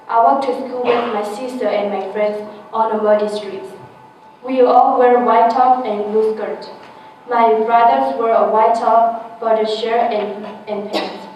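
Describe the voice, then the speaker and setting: A teenage girl born in Thailand reads her personal essay aloud with careful, halting phrasing and small restarts, recorded from a distant mic in an echoey room. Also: a little high, dull audio, a little hiss or hum. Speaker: essay contest winner.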